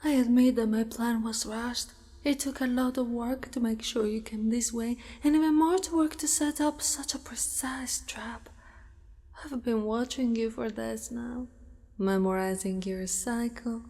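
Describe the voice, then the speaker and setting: Casting: woman as doting, predatory spider girl, higher-pitched, breathy ASMR whispers, sinister coaxing. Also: steady tone, warm tone. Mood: tender, wistful. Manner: slow, deliberate, sinister coaxing. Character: possessive yandere spider-monster woman, menacing yet doting predator. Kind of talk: ASMR roleplay, often whispered. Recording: long reverberant cave-like echo.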